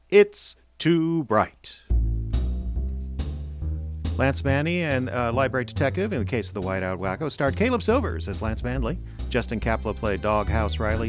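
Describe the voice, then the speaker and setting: A man works as a radio announcer and host, reading scripted copy at a middle pitch. His intonation is animated, and faint music plays along with him.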